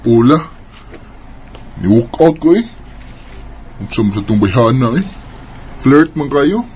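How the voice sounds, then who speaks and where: A man's voice: medium pitch, very animated, unhurried, a little mellow, slight background noise. A man with a Visayan Filipino accent playing a devoted, easily startled young boyfriend in a radio drama. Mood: indignant.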